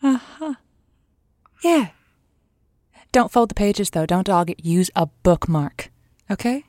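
A woman's voice: medium pitch, big pitch swings, takes it slowly, a little mellow, no echo, studio quality. An actress playing an effusive, coaxing female radio host who gives chatty, encouraging romance advice in an audio drama. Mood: playful. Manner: effusive, coaxing, pet names for listeners. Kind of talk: semi-improvised audio drama, late-night call-in radio host.

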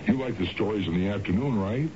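An actor playing a middle-aged husband, colloquial and dismissive, bickering in a radio drama. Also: male voice, lowish voice, quick pace, close to an everyday voice. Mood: exasperated, dismissive. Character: exasperated middle-aged husband, a science fiction fan. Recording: dull lo-fi radio with clear noise.

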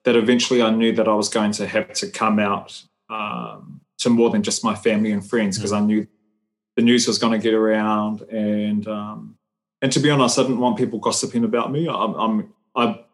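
Man from New Zealand, lowish voice, measured and hesitant, many ums; interview guest on a call. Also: steady tone. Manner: thoughtful, hesitant with many fillers. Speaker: guest, human rights advocate. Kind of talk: conversational interview.

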